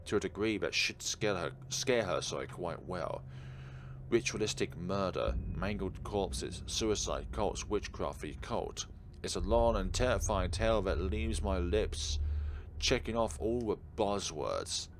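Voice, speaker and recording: A man doing let's play commentary, reading visual novel text aloud. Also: low-pitched. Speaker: gaming YouTuber. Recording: game music behind the voice. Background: video game music.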